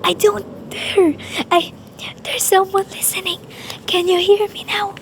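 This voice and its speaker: A woman's voice: very high-pitched, flat delivery, unhurried, a little hiss or hum. A woman acting in a radio play as a nervous, demanding invalid wife, alone and frightened, speaking with urgency. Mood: terrified whisper. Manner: nervous, imperious, breathless urgency.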